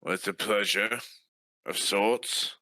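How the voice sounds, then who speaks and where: A man's voice: on the low side, slow pace, sharp tone, dry recording. A man playing a tabletop game, improvising in character with a guarded, blunt, wry manner.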